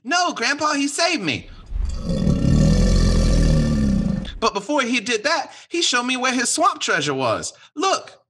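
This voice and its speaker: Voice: high voice, big pitch swings, normal speed, heavy background noise. An actor playing an eager, earnest, folksy country boy in a radio play. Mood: grateful, excited. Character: eager, tender country boy, devoted to his pet.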